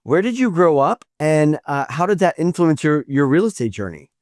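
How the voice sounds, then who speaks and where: A man hosting a podcast interview, asking questions with halting restarts. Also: fairly high pitch, sing-song, a little mellow, no echo, studio quality. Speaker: podcast host.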